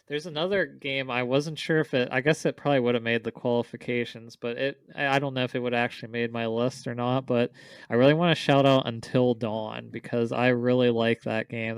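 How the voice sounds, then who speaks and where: A man with a clean, smooth voice at a medium pitch, in informal conversation about games as a podcast co-host. The recording is dry. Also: dry recording. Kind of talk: casual chat about games.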